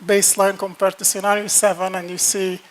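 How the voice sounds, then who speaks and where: A man's voice: sits high, flat delivery, brisk. A man giving a technical conference presentation on a hall stage, talking plainly with repeated words and fillers. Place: large dark lecture hall stage.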